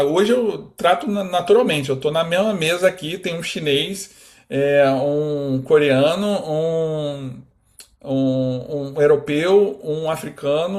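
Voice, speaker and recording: A Brazilian man in his thirties from Rio, a guest talking casually in an interview, animated and full of fillers. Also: a little high. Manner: animated, quotes imagined speech, many fillers.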